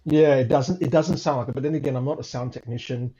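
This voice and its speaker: A man's voice: mid-range voice, steady tone, quick pace, warm tone, highs cut off. A man casually telling his own story as a guest, repeating words and adding tag questions for emphasis.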